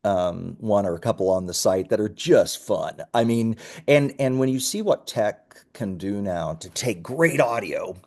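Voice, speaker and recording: A man with a slightly low voice talks as a guest founder in a casual interview. He pitches informally and easily, with halting phrasing and friendly little asides. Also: animated, a little mellow.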